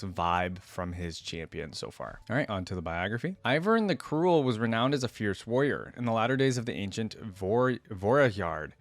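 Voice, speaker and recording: A man's voice: medium pitch, dry recording. A man hosting a reaction video, moving between casual, informal commentary and reading a story aloud. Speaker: YouTube reaction host.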